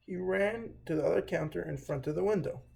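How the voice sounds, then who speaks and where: A man hosting a children's read-aloud, reading a storybook with a somewhat halting delivery. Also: sits high, gravelly, warm tone.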